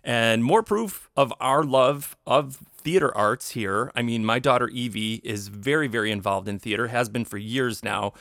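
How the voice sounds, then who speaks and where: A man's voice: medium pitch, moderate pace, creaky, few pauses, a bit bright, no room sound, clean studio sound. A man speaking casually as a podcast host.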